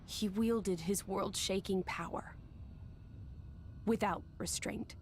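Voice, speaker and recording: A woman playing a gentle, solemn young woman who is an ancient living weapon, speaking in a measured, earnest way in a video game cutscene. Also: mid-range voice, steady tone, slight background noise. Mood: solemn, reflective.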